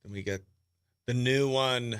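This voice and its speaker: A man hosting a morning radio show with casual live chat, talking in an excitable, slangy, exclamatory way. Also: mid-range voice, a little slow, clear, resonant tone, no echo, clean studio sound. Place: dry radio studio.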